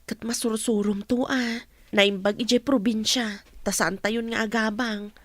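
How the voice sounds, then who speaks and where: A woman playing a poor, patient young wife and mother in a radio drama, gentle and deferential. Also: fairly high pitch, steady tone, a little slow, no echo. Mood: worried. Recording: music under the voice.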